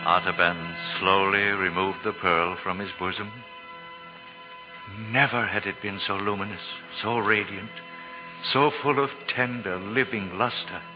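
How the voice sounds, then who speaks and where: A man narrating a 1940s radio drama in a solemn, theatrical, measured way with dramatic pauses. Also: low-pitched, steady tone. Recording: muffled 1940s radio recording. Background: music.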